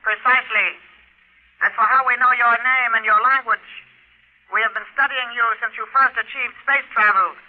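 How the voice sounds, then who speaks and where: High-pitched, coolly formal alien leader. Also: male voice, fairly even intonation. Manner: precise, formal, measured. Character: calm, polite, menacing small alien leader from another galaxy. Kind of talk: 1950s radio drama acting. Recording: vintage radio recording, narrow telephone-like band.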